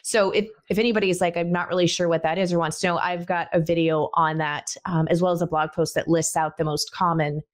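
A woman's voice: on the low side, level tone, quick pace, few pauses. A woman in her thirties hosting a podcast over a headset, speaking in a friendly, conversational way while guiding the questions. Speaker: podcast host. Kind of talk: casual interview hosting. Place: indoor home room.

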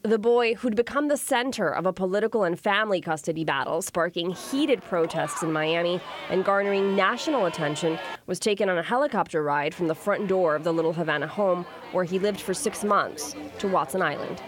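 A woman's voice: fairly low pitch, fairly quick, few pauses, background noise. A woman in her thirties, a TV news reporter reading scripted narration.

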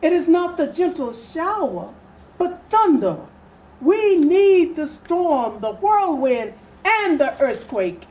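A woman's voice: high voice, a little mellow, a little hiss or hum, poor audio. An older woman giving a solemn, declamatory dramatic reading of an old speech. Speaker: readers theatre performer. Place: furnished sitting room, indoors.

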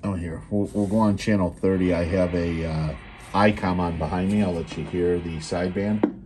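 Casting: American male product demonstrator, low and relaxed, halting and matter-of-fact, unscripted, slightly noisy handheld recording. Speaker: seller demonstrating a restored CB radio. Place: indoors at a radio bench. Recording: noisy room, handheld camera mic.

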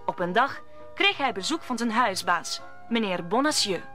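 A woman's voice: medium pitch, lively intonation, moderate pace, pushed and bright, faint music behind. A woman narrating a radio play as a scripted story.

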